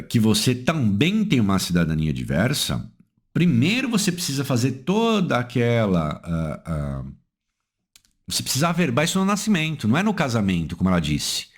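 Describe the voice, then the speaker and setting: A man with a Brazilian accent, explaining a legal decision as an analyst, emphatic and posing rhetorical questions he then answers. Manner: emphatic, swings pitch up and down for stress.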